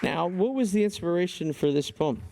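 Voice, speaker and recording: A man's voice: sits high, expressive, moderate pace, vocal fry, a little mellow. A man hosting a live event, introducing poets and hyping the audience. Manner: upbeat, hypes the crowd. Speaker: event host.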